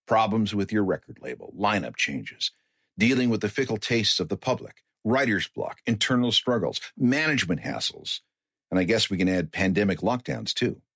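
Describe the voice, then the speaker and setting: A man's voice: lowish voice, a bit bright, slightly rough, no echo, clean studio sound. A man hosting a music-history radio show, narrating in a conversational, wry way. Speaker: radio host.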